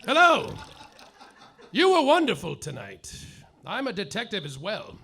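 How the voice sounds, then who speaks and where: Bright, gravelly-voiced man narrating noir comedy. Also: sits high, a little slow, slight background noise. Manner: hardboiled noir narration, deadpan, with sudden yelled outbursts. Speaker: lead performer in a live comedy play, as the detective. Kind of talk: live staged radio-noir comedy, narrating and acting. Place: live theater stage.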